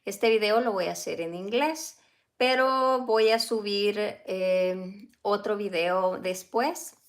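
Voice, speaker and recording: A woman and mother whose first language is Spanish, hosting a casual live stream at home, talking slowly with many fillers and small laughs. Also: mid-range voice. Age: adult, a mother. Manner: slow, halting, with frequent fillers and light laughs.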